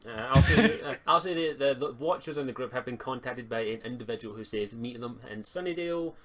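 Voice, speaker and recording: A man improvising casual narration as game master of a tabletop roleplay, in a halting way. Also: medium pitch, crowd noise.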